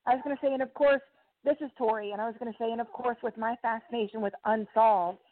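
A woman's voice: a little high, fairly even intonation, fast. A middle-aged woman talking casually as a podcast-host guest in an interview. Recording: dry phone-like call audio.

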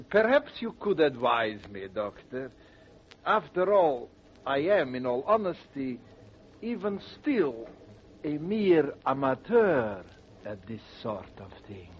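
Menacing, courteous villain, very slow swooping delivery, old-time radio play. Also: male voice, fairly high pitch. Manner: slow, silky, ceremonious. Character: suave, menacing, mad male villain, mock-courteous. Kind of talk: 1940s radio villain acting. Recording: dull, noisy old radio recording.

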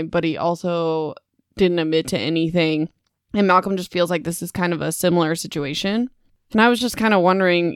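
A woman's voice: fairly low pitch, clean tone, no echo, clean studio sound. A young adult woman hosting a podcast, recapping a novel in a chatty, rambling way with self-deprecating asides. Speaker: podcast host. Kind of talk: casual book recap podcast.

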